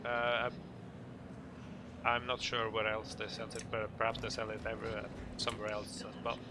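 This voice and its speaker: A man with a Norwegian accent giving an informal game tutorial in English, speaking hesitantly in halting phrases. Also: fairly low pitch, completely flat delivery, heavy background noise. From Norway. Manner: hesitant, halting delivery with pauses. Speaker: video tutorial narrator.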